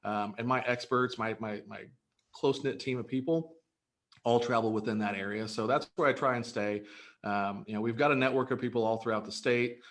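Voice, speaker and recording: An upbeat man in his thirties, born in Greece, answers interview questions casually. He talks earnestly and keeps restarting his sentences with ums and uhs. His pitch sits in a middle range for a man. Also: fairly even intonation, fairly quick, fairly bright tone. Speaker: real estate broker, guest.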